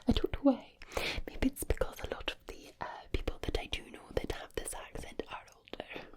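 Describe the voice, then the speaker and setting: Gentle older Highlands and Islands woman, acted by a woman, sing-song ASMR whisper, soothing. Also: brisk, lightly acted. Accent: Scottish Highlands and Islands.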